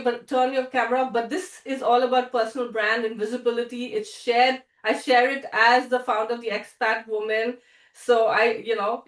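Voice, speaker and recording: A woman hosting an online event over a video call, chatty and quick to laugh, often restarting her sentences. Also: on the high side. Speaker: host and founder. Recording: echoey room, distant from mic.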